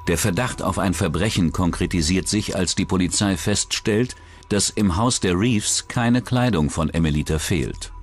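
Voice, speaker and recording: A man reading scripted true-crime documentary narration as the narrator. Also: on the low side.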